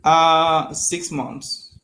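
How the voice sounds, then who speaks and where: A man's voice: a little high, drawn-out words, sharp tone. A man from Mexico with a Mexican Spanish accent, a native Spanish speaker talking in hesitant learner English on a video call. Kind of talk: casual video-call lesson chat.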